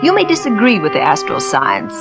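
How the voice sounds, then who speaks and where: A woman's voice: medium pitch, wildly swooping pitch, fairly quick, vocal fry, music in the background. A scheming female medium. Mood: coaxing. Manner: syrupy, self-righteous, turns indignant. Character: scheming middle-aged female spiritualist, wheedling and proud. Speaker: fake medium in a radio thriller. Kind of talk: 1940s radio acting.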